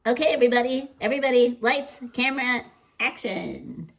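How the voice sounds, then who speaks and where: A woman's voice: a little high, animated, moderate pace, vocal fry. A woman voicing a showy singing chicken puppet, announcing things in a children's puppet show.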